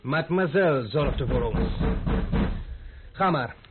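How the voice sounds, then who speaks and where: An actor playing an impulsive, hot-headed but loyal young gentleman in an old-fashioned Dutch radio drama. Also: medium pitch, lively intonation, slow pace, over music. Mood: dry, impatient. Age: young adult. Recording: muffled, faintly noisy old radio recording.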